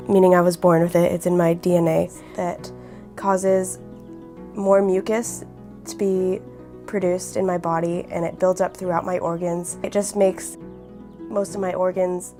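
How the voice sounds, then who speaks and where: An eighteen-year-old woman with a raspy voice who keeps clearing her throat, speaking earnestly and reflectively in a documentary interview. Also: on the low side, warm tone. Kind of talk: personal documentary testimony.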